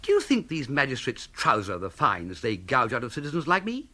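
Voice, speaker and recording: Bright, swooping upper-class English young gentleman. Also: medium pitch, huge pitch swings, brisk, exaggerated voice. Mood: gleeful. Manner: plummy, exclamatory, chatty. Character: breezy, idle upper-class English young gentleman, good-natured and excitable. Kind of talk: comic period radio drama acting.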